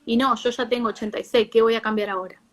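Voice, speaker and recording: Woman, Argentine accent, slightly high pitch, psychologist, informal explanatory talk, halting phrasing. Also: steady tone. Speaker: psychologist, guest speaker.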